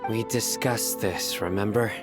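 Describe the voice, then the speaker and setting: A man acts a stern young-hero type general from an anime game, terse and formal. The voice is low and deadpan, with music clearly behind it. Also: a little slow, close to an everyday voice. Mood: stern, guarded. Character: stern, principled male desert law enforcement general.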